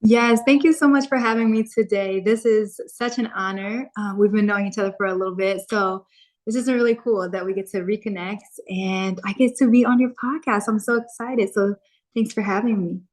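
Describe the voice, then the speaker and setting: A woman in her thirties from Chicago, a guest on a podcast, speaking calmly and reflectively with frequent ums. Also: medium pitch, brisk. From Chicago, USA. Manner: calm, reflective, with frequent um and soft laughs. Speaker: podcast guest, content creator and author. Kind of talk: casual interview chat.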